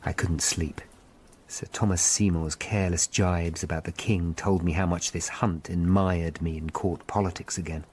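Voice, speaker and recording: An actor playing a troubled Tudor lawyer, narrating a murder hunt gravely in a radio drama. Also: male voice, sits low, steady tone, normal speed, a little mellow, slight background noise, lightly acted. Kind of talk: scripted first-person narration in a radio drama.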